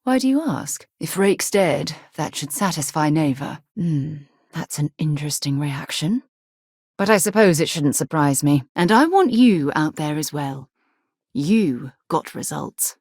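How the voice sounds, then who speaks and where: An actress playing an earnest, principled woman space explorer and leader in a video game, with a crisp, articulate, slightly husky delivery. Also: low-pitched, animated, measured pace, a little mellow, no room sound, clean studio sound, heavily acted. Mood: composed. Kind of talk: scripted game dialogue.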